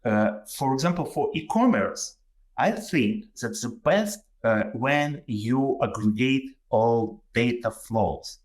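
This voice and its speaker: A man's voice: medium pitch, expressive, takes it slowly. A man with a Ukrainian accent, a native Ukrainian speaker, giving a webinar presentation over a video call, with many fillers and restarts. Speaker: guest presenter.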